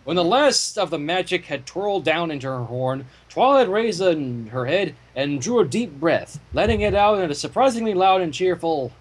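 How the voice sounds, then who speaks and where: Animated high-voiced man reading aloud. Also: normal speed, hardly any pauses, slight background noise, poor audio. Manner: expressive, reacts with exclamations to the story. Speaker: fan fiction reader. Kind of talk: dramatic story reading with asides.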